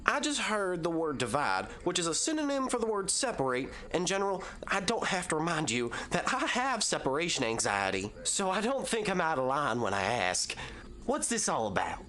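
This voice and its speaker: A man's voice: a little high, huge pitch swings, normal speed, sharp tone, heavily acted. A man acting a clingy, anxious wisecracking team member in an audio drama, fussy, chatty and comic. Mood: comic, flustered.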